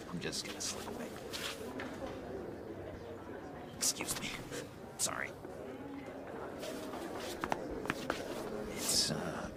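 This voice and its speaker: An actor voicing a needy, unstable, eerily polite man in a video game, theatrical and halting, often slipping toward whispers. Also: on the low side, very noisy, heavily acted. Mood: wounded, wheedling. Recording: music behind voice.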